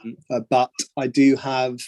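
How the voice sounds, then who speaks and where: A man's voice: medium pitch, completely flat delivery, measured pace, dark tone, no echo. A man from England, a photography coach, answering questions casually on a video call with many fillers and restarts.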